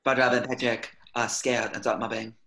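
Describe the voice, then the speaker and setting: A man's voice: mid-range voice, little pitch movement, brisk, bright, forceful tone. A man reading stage directions as scripted narration at a table reading.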